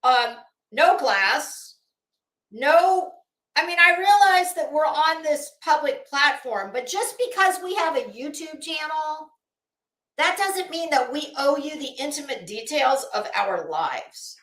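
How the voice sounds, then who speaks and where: A woman's voice: high voice, animated, slow, fairly bright tone. A middle-aged American woman doing casual livestream commentary in a blunt, opinionated, emphatic way, swearing freely. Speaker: YouTube commentary host. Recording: roomy, echoey room sound.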